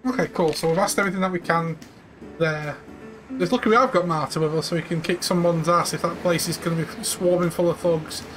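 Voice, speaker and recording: Relaxed gamer doing let's play chat, thinking out loud, soft music behind. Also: medium pitch, brisk. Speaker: gamer playing and commenting. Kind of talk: casual let's play commentary.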